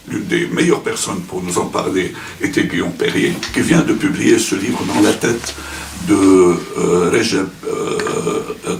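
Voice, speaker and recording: Gravelly low-voiced man, halting intro. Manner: halting, many hesitations. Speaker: event host. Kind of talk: introducing a speaker at a public debate. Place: meeting hall. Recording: echoey room from far off, noisy.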